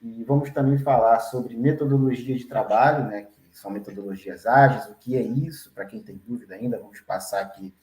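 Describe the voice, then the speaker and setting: A Brazilian man working as a data engineer, introducing an online class and himself at an unhurried pace. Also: medium pitch, a little mellow, reverberant, poor audio. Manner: unhurried, frequent tag questions.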